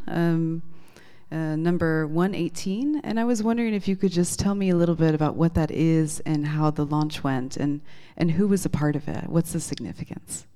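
A woman's voice: low-pitched, dark tone, clear, resonant tone. A woman hosting a conversational radio interview. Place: radio station.

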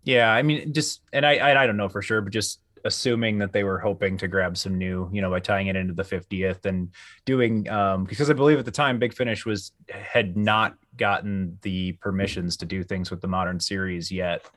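Man, low voice, dry close sound, casual podcast co-host, hesitant phrasing. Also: steady tone, moderate pace, a bit bright, dry recording.

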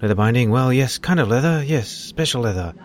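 A man's voice: mid-range voice, animated, fairly quick, natural voice. A man playing an erratic, creepy science recruit in an audio drama, jittery and stammering as he repeats himself. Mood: frantic, flustered.

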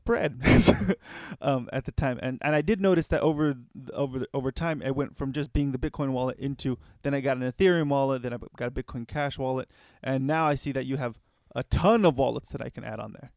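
Quick, chatty male co-host, keeps restarting mid-sentence, dry mic. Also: medium pitch, no room sound. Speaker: podcast co-host. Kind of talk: casual chat.

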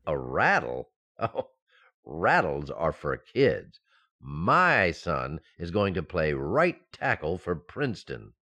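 An actor playing a naive, excitable expectant husband in an old-time radio sitcom, earnest and flustered. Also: male voice, deep voice, takes it slowly, heavily acted. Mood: earnestly stubborn.

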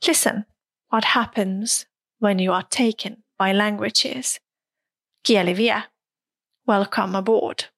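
A woman whose first language is Russian hosts a podcast about languages as a teacher. She speaks at an unhurried pace with clear, deliberate phrasing and friendly questions, and the recording is dry and close. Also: mid-range voice, steady tone, fairly bright tone, no room sound. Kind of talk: podcast hosting and interview.

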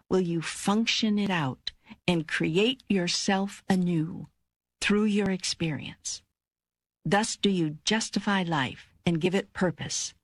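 God as a calm, wise teacher in a spiritual audiobook. The mid-range voice is assured and deliberate, recorded dry with hardly any room around it. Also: female voice, mid-range voice, dry recording. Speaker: audiobook co-narrator. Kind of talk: scripted narration, spiritual dialogue.

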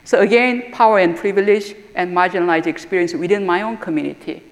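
A middle-aged woman from Korea with a Korean accent, a theological school dean giving an earnest, reflective talk and often pausing with "uh". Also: fairly low pitch. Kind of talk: personal talk or lecture. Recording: echoey hall with long reverb.

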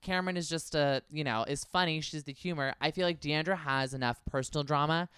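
A man's voice: a little high, brisk, fairly bright tone, smooth, no echo, clean studio sound. A man co-hosting a podcast, giving gossipy, analytical takes in casual chat. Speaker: podcast co-host. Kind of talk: casual chat about reality TV.

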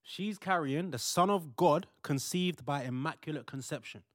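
Man acting earnest young fiancé, lightly pitched, dry sound. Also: normal speed, fairly bright tone, no room sound, close to an everyday voice. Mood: earnest persuasion. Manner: earnest, colloquial. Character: Joseph, earnest young husband-to-be, devout and persuasive. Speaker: actor in audio play. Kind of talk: modern audio drama dialogue.

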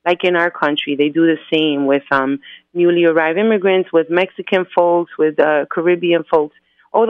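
A woman's voice: low-pitched, dry recording. A woman from the United States, an activist guest, explaining things earnestly and methodically in an interview over the phone. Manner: earnest, methodical, lays out points in groups. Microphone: phone line. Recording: tinny phone line.

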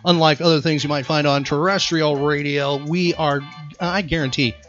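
A man with a slightly high voice hosts a casual trivia show. He is upbeat and playful with his partner. The sound is muffled and lo-fi, with music behind him. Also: steady tone, normal speed, a bit bright. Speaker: radio show host. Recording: muffled lo-fi audio.

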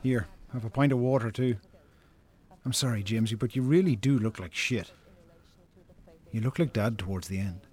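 An actor playing an exasperated, dry-tongued Irish brother chiding a drinker in a naturalistic radio drama. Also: male voice, mid-range voice, normal speed, pauses often, a little mellow, close to an everyday voice. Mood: exasperated, concerned.

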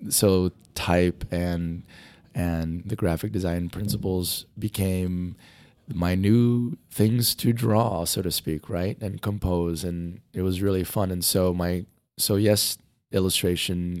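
Middle-aged male guest, low dark voice, hoarse and fading, talks in loose rambling answers. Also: steady tone, unhurried, no echo. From Hawaii. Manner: rambling, many fillers and restarts. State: losing his voice. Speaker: motion design educator. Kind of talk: conversational interview answers.

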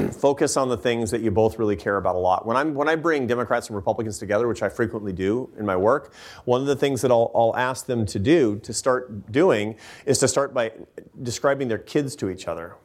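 A man's voice: medium pitch, fairly quick. A man giving earnest, emphatic answers to audience questions after a talk, often restarting his sentences. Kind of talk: lecture Q&A answers.

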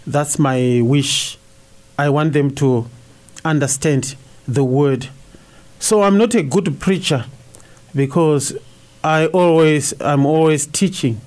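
A middle-aged priest from Zimbabwe whose first language is Shona speaks in a fairly high voice for a man. He answers in a thoughtful, halting way, full of uhs and restarts. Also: a little mellow. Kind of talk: radio interview answers.

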